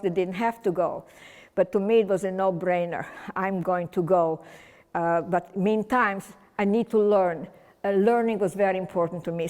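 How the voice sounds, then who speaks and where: Elderly woman, German native, recounting testimony. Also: mid-range voice. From Romania. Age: 80s. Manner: conversational and meandering, with frequent restarts and wry humor. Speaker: Holocaust survivor speaking at a remembrance event. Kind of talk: personal testimony talk to an audience. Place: community center hall. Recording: long hall reverb.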